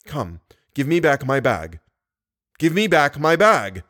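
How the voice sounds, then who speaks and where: A man's voice: on the high side, big pitch swings, slow pace, a bit bright, exaggerated voice. A man voicing a furious, possessive male monster who taunts and demands in an audiobook story. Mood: menacing.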